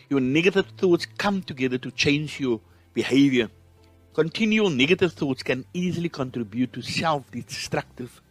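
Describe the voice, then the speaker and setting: A man's voice: on the high side, dry recording. A man with a South African accent hosting a motivational podcast, speaking in an earnest, encouraging way. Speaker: podcast host.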